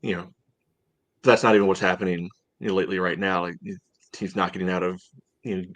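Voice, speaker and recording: Low-voiced man chatting about soccer. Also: fairly even intonation, fairly bright tone, no room sound. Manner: halting, peppered with "you know". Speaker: soccer podcast co-host. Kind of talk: casual match recap chat.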